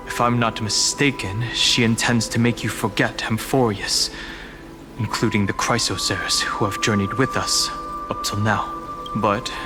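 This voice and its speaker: Music plays behind a solemn young wanderer voiced by a man for a game. He speaks very slowly in a slightly low voice, measured and earnest, with grave resolve. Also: close to an everyday voice. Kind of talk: video game voice acting.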